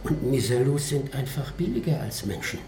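Man playing a mellow, wistful old robot officer. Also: medium pitch, animated, normal speed, echoey room, a little hiss or hum, lightly acted. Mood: explaining, courteous. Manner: rambling, courteous storytelling. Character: talkative, wistful old male robot, starstruck ship's officer. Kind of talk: German radio drama acting.

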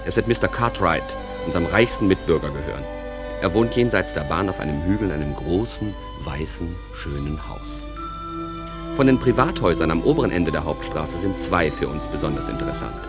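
A genial stage manager speaks straight to the audience in a low voice. He rambles conversationally and without hurry, as in a radio play, with prominent music behind him. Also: expressive. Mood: leisurely, genial. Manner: conversational, unhurried, digressive. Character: genial stage manager introducing a small town. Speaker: narrator. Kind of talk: theatrical narration addressed to the audience.

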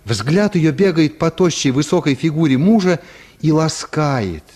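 A man's voice: on the high side, lively intonation, unhurried, darkish tone. A man narrating a literary radio play in a scripted storytelling style.

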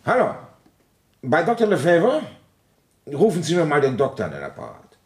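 An actor playing a gruff, resigned, middle-aged French police commissioner in a German radio play, with a sardonic, grumbling way of talking. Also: male voice, fairly high pitch, huge pitch swings, moderate pace, full character voice. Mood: brisk, official. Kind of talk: German radio play acting and first-person narration.

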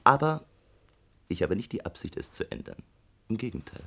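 A man's voice: fairly low pitch, very animated, normal speed, a bit bright, full character voice. A man playing a brazen young student blackmailer in a German radio play, sardonic, taunting and smug. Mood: scornful. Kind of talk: German radio drama acting.

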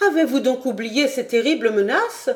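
A woman's voice: a little high, moderate pace, voice pushed up, close to an everyday voice. Woman reading as an anxious pleading young noblewoman. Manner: urgent, imploring.

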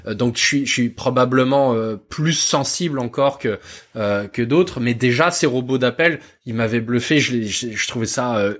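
A man co-hosting a tech podcast, hesitant, repeating words and filling pauses with many "euh". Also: medium pitch, fairly bright tone, breathy edge. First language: not English. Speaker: podcast co-host. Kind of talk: casual tech podcast chat.

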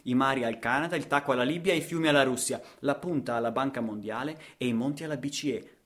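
A man's voice: medium pitch, quick pace, a bit bright. A man with an Italian accent performing a satirical radio theatre monologue, ironic and emphatic, posing rhetorical questions.

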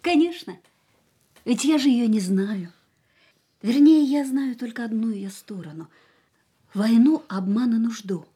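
A woman torn yet determined to leave the man who loves her. Her high voice is earnest and pleading, in the dramatic manner of a radio play. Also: animated, measured pace, pauses often, dark tone. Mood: yearning, insistent. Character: anguished, resolute woman leaving the man she loves. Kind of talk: Russian radio play acting.

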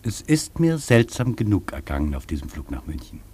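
An actor playing a reflective travel writer, recounting a journey in literary first-person radio narration. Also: male voice, low-pitched, big pitch swings, fairly quick, a little mellow, slightly rough, exaggerated voice. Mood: pensive.

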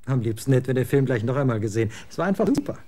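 A man's voice: mid-range voice, lightly acted. An actor playing a scornful young man of twenty in a radio drama, talking in blunt slang. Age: 20s. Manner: slangy, scornful, quick to get excited. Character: young man, 20, moody rocker son, scornful. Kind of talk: German radio drama acting.

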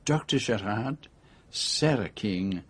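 An actor playing a courteous, self-assured male detective in a radio drama, speaking formally and deliberately in precise phrases. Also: on the low side, animated, fairly bright tone, breathy edge, heavily acted. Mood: courteous, measured.

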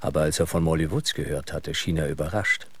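A man's voice: very deep voice, fairly quick, fairly bright tone, a little hiss or hum. A man reading scripted narration as the storyteller of a radio drama. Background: accordion music.

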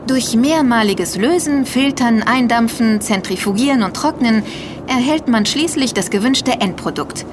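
A woman's voice: a little high, background noise, natural voice. An actress playing an informed, inquisitive woman who speaks in an explanatory way in a scripted audio drama. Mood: matter-of-fact.

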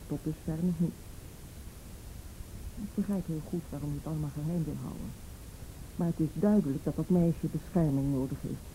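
An actress playing a firm, probing policewoman in a Dutch radio play, speaking in a measured, insistent and blunt way. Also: sits low, dark tone, a little hiss or hum, low-quality recording. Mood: firm, confronting. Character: firm, probing policewoman confronting a mother over child abuse.

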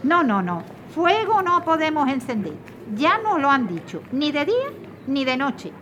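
A woman's voice: high voice, sing-song, measured pace, a bit bright, a little hiss or hum, full character voice. An actress playing a weary, firm mother who scolds her daughter in a radio drama. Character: weary, stern mother fleeing on foot.

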